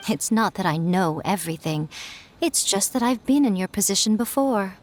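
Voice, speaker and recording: An actress playing a poised, perceptive young schemer in a video game, with a composed, teasing, knowing manner. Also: female voice, mid-range voice, animated, normal speed, a little hiss or hum, lightly acted. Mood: kindly knowing.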